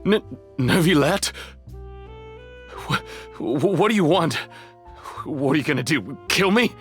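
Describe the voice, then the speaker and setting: A man's voice: fairly high pitch, expressive, slow pace, soft background music. A man voicing a scared, bitter schemer in a video game, stammering nervously. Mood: panicked. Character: jittery, cornered male schemer, scared and bitter.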